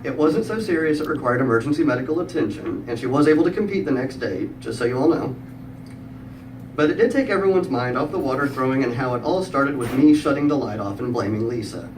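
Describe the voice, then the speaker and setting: A distant, echo-heavy recording with clear background noise carries a middle-aged man's voice at an average male pitch. He delivers a prepared comic story to a live room. His manner is wry and dry-witted, with pauses placed for the joke. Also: lively intonation. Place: live event room with audience.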